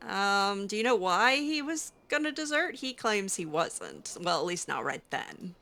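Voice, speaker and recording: Female gamer, improvised roleplay, lively intonation, bright tone, hesitant, casual, reactive. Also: medium pitch, unhurried. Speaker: gamer playing in character. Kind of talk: improvised casual roleplay commentary over a game.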